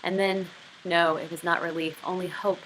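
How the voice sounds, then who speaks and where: Bright-voiced woman teaching literary craft. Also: fairly low pitch, level tone, normal speed, slight background noise, rough recording. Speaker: writing teacher. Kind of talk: literary craft lesson with read-aloud passages.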